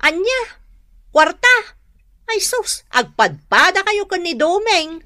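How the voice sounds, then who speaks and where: A woman's voice: very high voice, very animated, takes it slowly, bright, forceful tone, no echo, studio quality, full character voice. A woman playing an exasperated grown daughter of cranky elderly parents, with an Ilocano accent, in a comedic radio drama.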